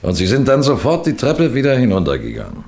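An actor playing a shrewd, genial middle-aged detective who questions and narrates in a measured, gently teasing way, in the style of a 1960s German radio mystery. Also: male voice, medium pitch, huge pitch swings. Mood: polite, probing. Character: shrewd, genial police detective narrating his own case.

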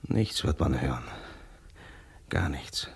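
An actor playing a cold, cocky, domineering killer in a crime radio play, curt and boastful. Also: male voice, extremely low pitch, expressive, slow, gravelly, husky, full character voice. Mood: curt, tense. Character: cold, cocky hired-gun killer, brusque and domineering man.

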